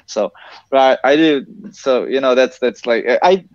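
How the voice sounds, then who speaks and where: A man's voice: mid-range voice, unhurried, a little mellow, no room sound. A young man from western Ukraine with a Ukrainian accent, chatting casually on a video call as a drummer guest, with many restarts and fillers. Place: small home room. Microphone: headset on video call. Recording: dull dry video-call audio.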